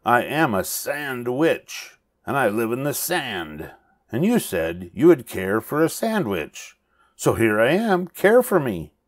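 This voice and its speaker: A man's voice: medium pitch, big pitch swings, slow, pitched above the performer's own voice. A man voicing a small, bossy female witch in a storybook, with a cackling, commanding manner. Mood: sly, pleased.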